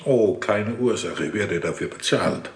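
An actor playing a hesitant, sceptical forensic doctor who mutters haltingly in a German audio drama. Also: male voice, lowish voice, echoey room, natural voice. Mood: bemused, muttering.

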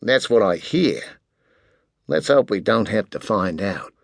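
Man as giant manservant bodyguard, calm, restrained, terse, dry close sound, audiobook character. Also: lowish voice, a little slow, vocal fry, no echo, heavily acted. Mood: calm, wary.

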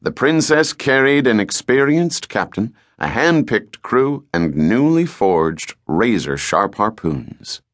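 A man narrating an adventure novel as a scripted audiobook, with measured, deliberate, dramatic storytelling. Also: mid-range voice, sing-song, no echo, studio quality.

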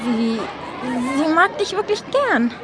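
Reluctant schoolgirl Mira, high and slow. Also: sing-song, crowd noise, background noise, full character voice. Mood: hesitant, awkward. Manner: hesitant, put-upon. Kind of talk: children's audio drama.

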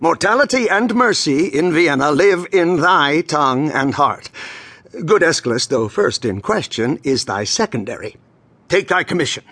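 An actor playing a solemn ruling duke in a Shakespearean stage drama, with formal, measured, oratorical delivery. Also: male voice, a little high, animated, a bit bright, husky. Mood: grave, earnest. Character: stately ruling duke, solemn, handing over power. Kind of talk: classical Shakespearean stage acting.

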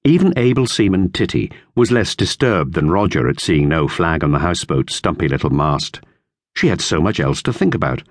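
A man narrating a classic children's novel in measured, expressive storytelling. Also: deep voice, dry recording, clean studio sound. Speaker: audiobook narrator.

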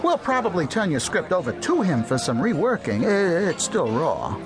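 A man's voice: high-pitched, huge pitch swings, normal speed. A man playing a flustered, sleazy B-movie producer in a radio play, stammering through lots of ums and uhs. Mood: wheedling. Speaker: film producer. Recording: radio play with music behind.

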